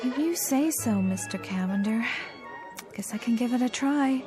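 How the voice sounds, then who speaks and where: A woman plays an earnest, ordinary working gal in a radio drama. Her voice sits very high, plain and friendly, a little wistful and halting, with music clearly behind it. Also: lightly acted. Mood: uneasy. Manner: plain-spoken, wistful, hesitant.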